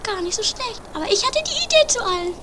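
A woman playing a scatterbrained, stubborn, excitable squabbler in an improvised comedy radio play, with a squawky, exclamatory delivery. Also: extremely high pitch, fast. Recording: noisy amateur 1980s radio play.

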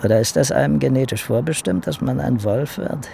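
An actor playing a detective's brooding, sceptical colleague in a radio drama, musing and questioning. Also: male voice, medium pitch, brisk, vocal fry, warm tone, slight background noise. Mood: cynical, pensive. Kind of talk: naturalistic radio drama acting.

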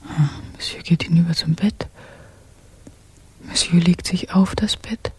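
An actress in a German radio play playing a secretive, watchful young woman who whispers breathy, hushed observations in short phrases. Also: fairly low pitch, fairly even intonation, unhurried, lightly acted. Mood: furtive, tense.

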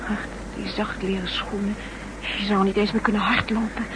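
A worried actress as a female prisoner with a mid-pitched voice, questioning and uneasy. She plays it in 1960s radio drama style, on a clearly noisy old recording. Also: level tone, normal speed, lightly acted. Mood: anxious. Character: anxious female captive, the spy's companion.

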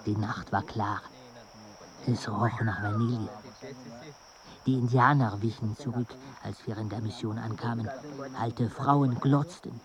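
An actor playing a jungle explorer's companion who narrates a radio play in a measured, literary way. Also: male voice, lowish voice, steady tone, crowd noise, close to an everyday voice, poor audio. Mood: grim. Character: male expedition companion narrating a grim jungle journey.

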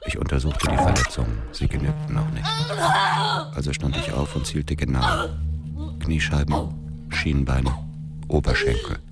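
An actor playing a cold, vengeful widower who narrates his brutal deeds in a detached, measured crime radio drama. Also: extremely low pitch, lively intonation, full character voice. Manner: detached, measured, matter-of-fact. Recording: music under the voice.

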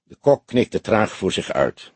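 A man narrating a crime novel as an audiobook in an expressive storytelling style. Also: fairly low pitch, unhurried, breathy edge, no echo.

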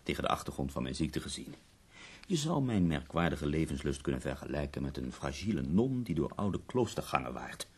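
An actor playing a bedridden, dying poet who speaks in ornate, wry, theatrical phrases in a radio drama. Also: male voice, a bass voice, big pitch swings, a little fast, darkish tone, slightly rough, heavily acted. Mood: macabre, wry.

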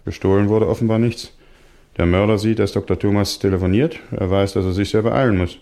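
A man's voice: on the low side, fairly even intonation, brisk, mellow, natural voice. An actor playing an eager junior police detective in a radio crime play, earnest and respectful as he reasons aloud. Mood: earnest, reasoning. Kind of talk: scripted German radio crime drama.

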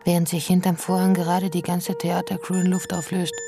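An actress playing a sardonic, disillusioned lone activist in a radio drama, talking to herself in a dry, brooding way. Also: female voice, lowish voice, flat delivery, a little fast, gravelly, loud music behind the voice. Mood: bitter, sardonic.

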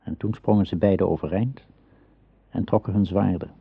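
A man narrating a radio play as a storyteller, in a measured storybook manner. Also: deep voice, steady tone, gravelly, breathy edge, no room sound. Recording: slightly dull radio play recording.

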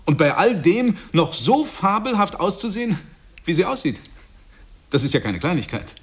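A man's voice: high voice, big pitch swings, normal speed, slightly rough, heavily acted. An actor playing a smug, self-satisfied husband and new father, preaching family bliss in an emphatic, effusive way in a radio drama. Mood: smugly admiring. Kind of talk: stage-like radio drama dialogue.

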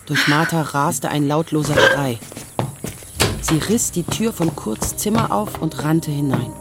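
A woman's voice: low voice, unhurried. An actress playing a weary, defiant woman in her early forties, narrating her story in a sober, restrained literary radio drama style. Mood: sober, grieving. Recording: music behind the voice. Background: music.

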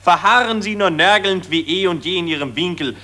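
Brief: preachy patriotic optimist, bright and forceful tone, a touch high. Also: male voice, brisk. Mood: lofty. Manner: solemn, preachy, high-flown. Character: pompous patriotic male optimist, lecturing.

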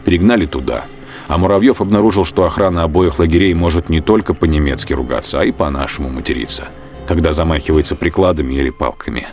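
Low-voiced man narrating a radio drama, slow and grave, soft music behind. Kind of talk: scripted literary narration for a radio play.

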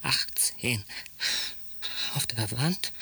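A man playing an obsessive, detached psychiatric patient in a radio drama, speaking in halting fragments with a husky voice that often drops to a whisper. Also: mid-range voice, animated, pushed and bright, heavily acted.

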